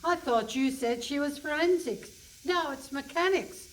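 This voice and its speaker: A woman's voice: high-pitched, a little slow. A woman playing a brusque, dry-witted, impatient police detective inspector in a comic radio play. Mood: impatient, sardonic. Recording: live hall stage, distant mic, faint noise.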